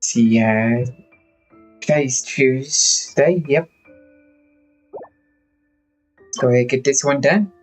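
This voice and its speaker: A man livestreaming a game, making casual, low-key running remarks as he plays. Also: measured pace, faint music behind. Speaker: game streamer. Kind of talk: casual livestream gameplay chat.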